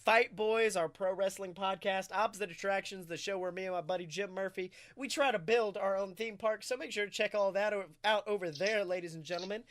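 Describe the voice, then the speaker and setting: Bright, higher-pitched male podcaster. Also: a little fast, few pauses. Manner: excitable, jokey storytelling. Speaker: podcast co-host. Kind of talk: animated casual podcast chat.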